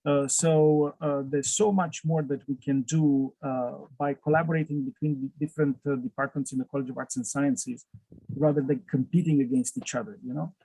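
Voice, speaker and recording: A man's voice: a little high, a little mellow, no echo, low-quality recording. Romanian-accented man with a dry sound. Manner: frequent "uh" fillers, slight non-native phrasing. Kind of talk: conversational interview over video call.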